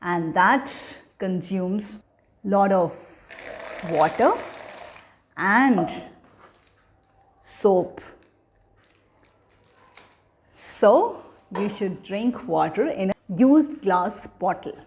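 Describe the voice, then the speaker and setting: A woman giving an educational talk for children, speaking in a deliberate, teacherly manner as a storyteller and host. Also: medium pitch, lively intonation, a little hiss or hum. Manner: teacherly, deliberate, asking questions then answering them.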